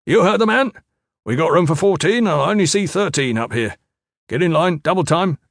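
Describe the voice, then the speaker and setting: A man voicing a gruff military trainer in a fantasy audiobook, barking curt, demanding commands. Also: mid-range voice, normal speed, bright, forceful tone, dry recording, clean studio sound. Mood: barking orders. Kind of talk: dramatised fantasy audiobook dialogue.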